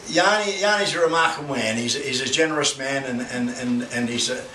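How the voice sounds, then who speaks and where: A man's voice: mid-range voice, brisk, pushed and bright. An older man gives a rambling, anecdotal speech at an exhibition opening, with many restarts and bawdy asides. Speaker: guest speaker opening an art exhibition. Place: gallery with a crowd. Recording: echoey room, far from the mic, noisy.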